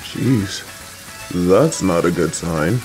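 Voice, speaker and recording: Guy doing a clueless caring dad, kinda low voice, talks slow, anxious and rambly but gentle. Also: expressive, over music. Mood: worried. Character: kind, worried, clueless middle-aged father. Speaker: voice actor. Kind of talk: audio roleplay for a listener.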